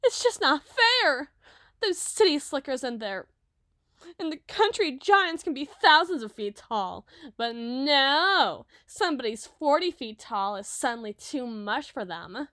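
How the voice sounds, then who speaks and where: A sweet, dramatic young giantess , played by a woman, speaks in a high, girlish voice. Her delivery is chatty and openly emotive, in the manner of an audio roleplay. The sound is very dry, with no room around it. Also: sing-song, sharp tone, no room sound, exaggerated voice. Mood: indignant. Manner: emotive, chatty, halting when flustered.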